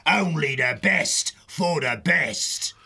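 Brutal gloating sea rat king from a storybook, gravelly n high, slow salty pirate drawl. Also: animated, heavily acted. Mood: mock-hearty welcome. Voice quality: gravelly, forceful.

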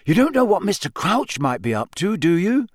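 A curious teenage boy from a storybook presses his questions eagerly, voiced by a male narrator. His pitch sits above the narrator's own and moves in lively steps. The recording is dry and close. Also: normal speed, no room sound.

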